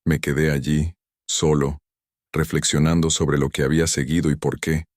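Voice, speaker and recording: A man narrating first-person horror stories in a steady, measured storytelling manner. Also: a bass voice, dry recording, studio quality.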